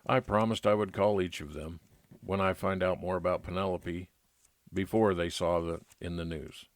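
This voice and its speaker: A man's voice: sits low, steady tone, normal speed, no room sound. A man narrating a scripted first-person detective story as a private investigator, in old-time radio style.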